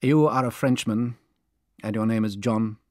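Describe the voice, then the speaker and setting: A man playing a precise, coolly exacting English gentleman in an audiobook, clipped and formal. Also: fairly low pitch, fairly even intonation, unhurried, dry recording, studio quality, close to an everyday voice.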